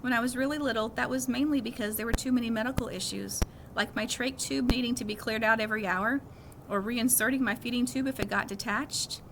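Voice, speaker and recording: A woman's voice: on the high side, steady tone, fairly quick. A woman reading a children's novel aloud as a first-person story. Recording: clearly noisy background.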